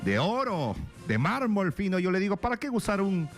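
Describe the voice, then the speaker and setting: A man's voice: high-pitched, big pitch swings, moderate pace, faint music behind. A man impersonating a self-important, falsely humble older Peruvian politician in a grandiloquent oratorical manner. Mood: pompous, mock-humble. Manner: pompous, oratorical.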